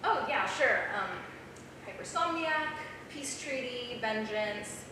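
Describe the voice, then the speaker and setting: Echoey, higher-voiced woman acting a bookish young student. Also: lively intonation, slow pace. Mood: playful. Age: young adult. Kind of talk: staged script reading of a play. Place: small theater stage. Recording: very echoey, noisy theater, distant mic.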